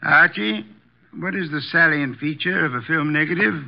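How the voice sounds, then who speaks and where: An actor playing an imperious, erudite armchair detective with formal, deliberate diction, in 1950s radio drama style. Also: male voice, fairly high pitch, gravelly. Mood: self-assured. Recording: dull vintage 1950s radio recording.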